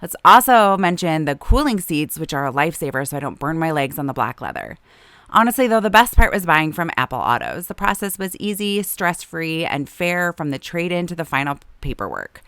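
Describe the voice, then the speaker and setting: A woman, hosting a podcast. She is hoarse from a long illness, and her deep, raspy voice has a lot of vocal fry. She chats easily and laughs often. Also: sing-song, brisk, a bit bright, no room sound. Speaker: podcast host. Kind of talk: casual chat and read ads.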